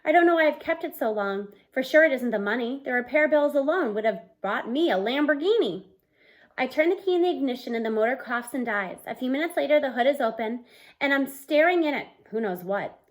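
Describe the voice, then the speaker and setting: A woman in her thirties reading a novel aloud in an expressive, storytelling style, acting out the dialogue. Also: high voice, a little fast. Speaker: read-aloud book reader. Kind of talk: expressive children's audiobook-style read-aloud. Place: small room at home, indoors.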